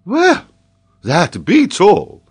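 Man playing an excitable, high-pitched theatrical geographer. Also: heavily acted. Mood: excited disbelief. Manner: exclamatory, drawn-out, mock-admiring. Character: excitable, theatrical French male geographer, amused and incredulous.

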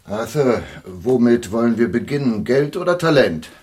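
A nervy male schemer, eager and insistent. The voice is husky and swings up and down in animated radio-play fashion. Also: medium pitch, moderate pace. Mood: brisk. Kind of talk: German radio play acting.

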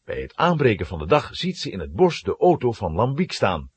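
A man giving dramatic, expressive scripted narration of a children's story. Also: lowish voice, brisk, slightly rough.

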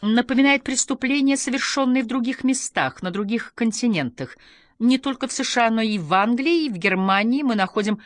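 A woman's voice: fairly high pitch, a little fast, dry recording, studio quality, voice pushed up, close to an everyday voice. A woman voicing a formal, eager male TV talk-show host in an audiobook, with emphatic, polished delivery. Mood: intent.

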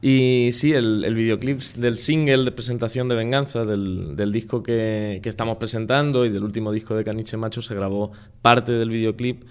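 Young man, low and smooth, loose and rambling guest. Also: moderate pace, hardly any pauses. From Spain, La Mancha. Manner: relaxed, rambling with frequent restarts and fillers. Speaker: musician guest. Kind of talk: casual radio interview.

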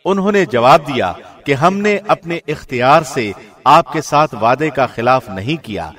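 A man reads a scripture translation in a solemn, measured and formal way, like a professional radio presenter. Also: mid-range voice, fairly bright tone.

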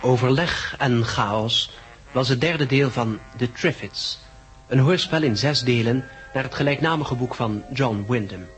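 A man with a husky voice reading scripted credits as a radio drama announcer. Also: mid-range voice, a bit bright.

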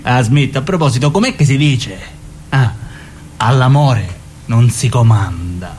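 A man acting as a brash lover in a stage drama, with a wry manner. His voice is mid-pitched and lively in its movement, with a little noise behind it. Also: unhurried, lightly acted. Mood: mocking.

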